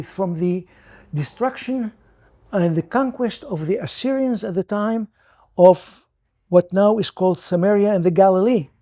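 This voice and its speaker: An older man born in Iraq, whose first language is Judeo-Arabic, recalling his childhood as an interview guest in halting speech full of restarts. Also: high-pitched, dark tone. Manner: halting, many restarts and repeated words. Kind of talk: personal recollection.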